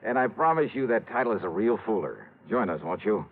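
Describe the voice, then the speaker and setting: An actor playing a tough, wry American insurance investigator in a 1950s radio noir drama. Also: male voice, on the low side, very animated, a little fast, narrow, tinny audio. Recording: thin vintage radio broadcast, loud music.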